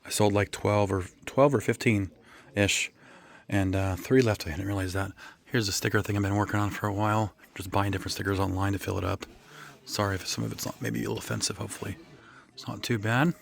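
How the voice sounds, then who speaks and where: A man with a hoarse, raspy voice, casually chatting through a reseller vlog, rambling with lots of ums and self-corrections. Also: lowish voice, fairly even intonation, brisk. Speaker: reseller vlogger. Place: his resale booth and home workspace.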